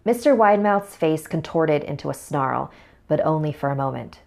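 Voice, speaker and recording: A woman with a fairly low voice narrates a scripted horror tale in the first person, as storyteller. Music plays clearly behind her words. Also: animated, normal speed, a little mellow.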